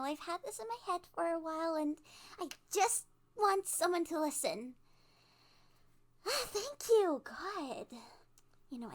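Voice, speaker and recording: Woman acting a high-pitched, giggly, stammering kidnapper girl. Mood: agitated, pleading, then giddy, sweetly menacing. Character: cute, nervous, giddily obsessive young female kidnapper. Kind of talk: ASMR roleplay monologue.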